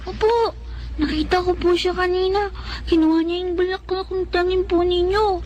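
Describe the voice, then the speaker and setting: A young child in a Filipino radio drama. The voice is extremely high, polite and earnest, like a little tattletale reporting to mother. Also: fairly even intonation, moderate pace, darkish tone. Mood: earnest tattling.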